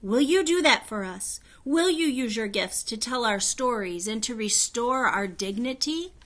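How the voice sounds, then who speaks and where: A woman voicing a regal fairy queen in a children's storybook, proud and solemn, with a high, clear, stately voice. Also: animated, unhurried, fairly bright tone. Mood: earnest appeal. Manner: stately, declamatory. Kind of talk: children's storybook read-aloud.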